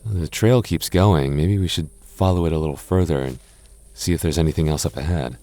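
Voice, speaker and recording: A young man in a close-mic boyfriend roleplay, talking softly with halting restarts and light jokes. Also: a bass voice, no room sound. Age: young adult. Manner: soft-spoken, halting, jokey and reassuring; slow measured reading of a rhyme.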